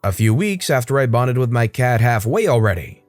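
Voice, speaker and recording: A man reading internet stories aloud, with lively delivery and wry asides. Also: medium pitch, moderate pace, no echo, faint music behind. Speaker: story narrator.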